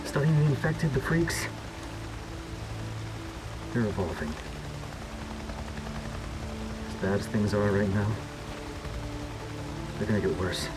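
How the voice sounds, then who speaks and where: A man's voice: fairly low pitch, big pitch swings, unhurried, pauses often. A man acting as a guarded, earnest government researcher in a video game cutscene, explaining in a clipped, defensive way. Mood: grave, urgent. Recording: loud music behind, game cutscene.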